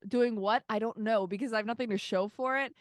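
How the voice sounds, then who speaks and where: A woman co-hosting a podcast, joking energetically in casual banter and swinging loud at times. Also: fairly high pitch, fairly even intonation, fairly quick, dry recording, clean studio sound. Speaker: podcast co-host. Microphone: remote call, separate room.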